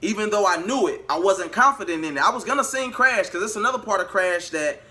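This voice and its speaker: A man's voice: sits high, animated, fast talker, pushed and bright. A man telling a personal story to his viewers in a casual, emphatic way, repeating phrases for emphasis. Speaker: vlogger and R&B singer.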